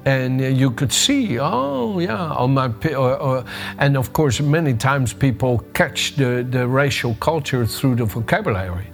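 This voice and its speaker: A man's voice: mid-range voice, expressive, unhurried, creaky, faint music behind. An older man with a Dutch accent tinged with American, giving a conversational devotional sermon, often restarting and searching for words. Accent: Dutch with a bit of American. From Netherlands. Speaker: preacher.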